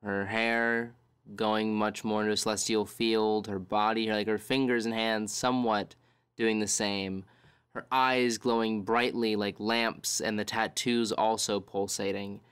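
A man's voice: on the low side, level tone, a little slow, a bit bright, clear, resonant tone, no room sound, clean studio sound. A man narrating as game master of a tabletop role-playing game, describing scenes and searching for words.

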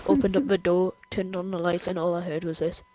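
A man's voice: high voice, flat delivery, a little fast, a little mellow. A man joining a game stream over voice chat, telling stories in a joking, playful way. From United Kingdom. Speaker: guest player on voice chat. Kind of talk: casual gaming chat.

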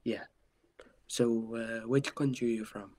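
A man's voice: medium pitch, steady tone, unhurried, darkish tone. A teenage boy from India with an Indian English accent, giving short, hesitant answers in a casual webcam chat. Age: teens. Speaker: chat stranger.

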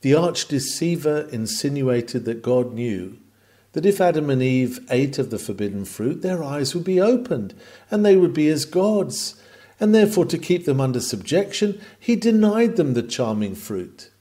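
A man reading a Victorian sermon aloud in an oratorical manner, with deliberate pauses and emphasis. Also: on the high side, lively intonation, dark tone. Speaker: reader of a historical sermon.

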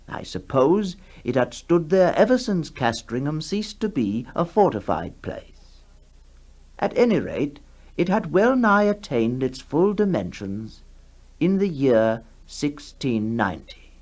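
A man narrating a ghost story for 1960s radio in a measured storytelling manner with deliberate pauses. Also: fairly high pitch, a little mellow. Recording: 1960s radio broadcast with music behind.

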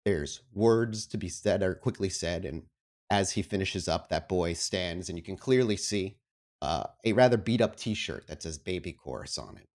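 Low-voiced man narrating a tabletop game. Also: expressive, normal speed, darkish tone. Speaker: game master, storyteller.

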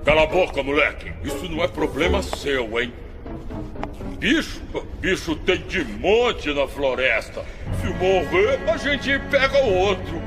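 Fretful, grumbling henchman: high voice, Brazilian accent, drawn-out exclamations, music underneath. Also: big pitch swings. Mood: irritated. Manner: whiny, exclamatory, dragging words. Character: grumbling, fretful male henchman, sneaky and callous. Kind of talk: dubbed children's cartoon acting. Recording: music playing behind the voice.

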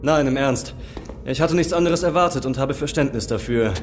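Man playing a gruff alien starship commander. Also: mid-range voice, moderate pace, natural voice. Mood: dry, conciliatory. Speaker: voice actor. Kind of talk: German audio drama acting. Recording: music behind the voice.

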